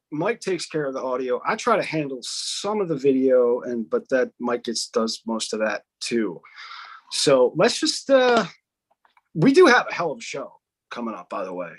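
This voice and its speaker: A man's voice: medium pitch, rough recording. A man hosting a podcast, joking, swearing and rambling in a loose, casual way. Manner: profane, rambling, jokey. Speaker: podcast host.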